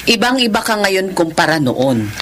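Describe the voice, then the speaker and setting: A woman's voice: mid-range voice, sing-song, normal speed, crowd noise, natural voice. An actress playing a nosy, doting middle-aged mother who teases, in a Filipino radio drama with a Filipino accent. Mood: teasing, delighted. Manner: teasing, prying. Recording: noisy radio drama ambience.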